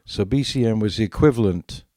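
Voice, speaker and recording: A man with a low, dark voice co-hosts a casual interview. He phrases his remarks a little hesitantly, in a dry recording with hardly any room sound. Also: a little fast, dry recording. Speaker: podcast co-host.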